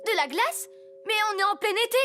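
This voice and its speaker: A woman voicing a plucky, lively and decisive young child superhero in a cartoon dub. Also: very high voice, brisk. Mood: surprised. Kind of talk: animated cartoon dub acting. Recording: music behind the voice.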